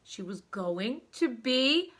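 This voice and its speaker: A woman storyteller with a bright, higher-pitched voice reads to young children. She is very expressive, leaning into words for drama and putting questions to the listener, and her pace is unhurried. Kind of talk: animated read-aloud storytelling for young children.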